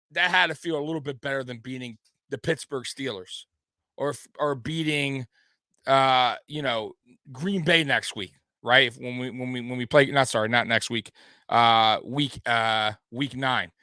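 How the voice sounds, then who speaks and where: A man hosts a laid-back sports recap and drifts from one thought to the next as he answers his live chat. He sounds a little tipsy. His voice is bright and forceful, recorded dry and close with no room echo. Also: mid-range voice, fairly even intonation, a little slow. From Detroit area. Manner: loose, rambling, talks to the chat. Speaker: host. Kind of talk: casual sports recap show.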